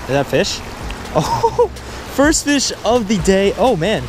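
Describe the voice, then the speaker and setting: A young man vlogging outdoors by the water on a windy day, chatty and excitable, talking into an action camera. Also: high-pitched, takes it slowly. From Delaware, US. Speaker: fishing vlogger. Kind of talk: casual vlog narration. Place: outdoors by a windy spillway, also a store and a parked car. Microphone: action camera, handheld or chest-mounted. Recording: outdoor wind noise.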